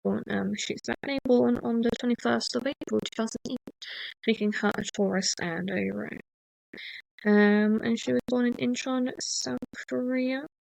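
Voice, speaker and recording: A woman hosting a podcast, talking in a rambling, hesitant way with many fillers and restarts, and deliberately speaking a little slower than usual. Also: a little high, steady tone, vocal fry, a little mellow, no echo, low-quality recording. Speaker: podcast host. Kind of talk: casual rambling monologue.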